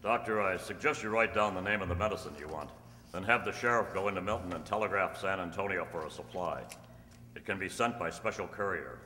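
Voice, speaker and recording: A man playing a calm, upright masked cowboy hero with measured, formal delivery, in old-time radio style on stage. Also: deep voice. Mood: steady. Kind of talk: old-time radio drama acting read from script. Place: stage in a community hall. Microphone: stand microphone. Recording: echoey hall, humming background noise.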